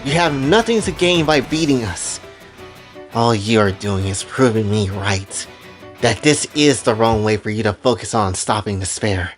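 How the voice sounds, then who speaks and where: A man's voice: lowish voice, lively intonation, unhurried, voice pushed down. Man playing a stern female fighter. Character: stern, firm female fighter demanding surrender. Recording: music behind the voice.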